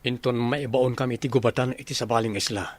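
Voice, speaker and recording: Mid-pitched young army officer played by a man. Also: fairly even intonation, fast talker, natural voice. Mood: earnest, concerned. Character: dutiful young army officer, devoted son. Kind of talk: radio drama acting.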